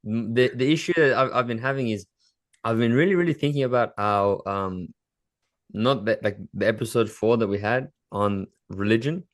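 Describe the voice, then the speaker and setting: A man's voice: medium pitch, moderate pace. A man co-hosting a casual podcast, asking probing questions with a teasing edge. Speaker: podcast co-host.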